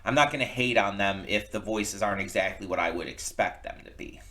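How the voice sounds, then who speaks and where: A man's voice: fairly low pitch, a little fast, pushed and bright. A man hosting a reaction channel, giving casual, rambling commentary in a hesitant way, often repeating and rephrasing himself.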